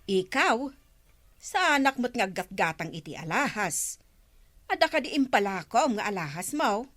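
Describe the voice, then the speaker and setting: Curious village gossip, Ilocano accent, mid-pitched, natural radio drama delivery, dry close sound. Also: female voice, sing-song, normal speed, fairly bright tone, dry recording. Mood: questioning. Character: curious village woman trading gossip and questions. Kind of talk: radio comedy drama acting.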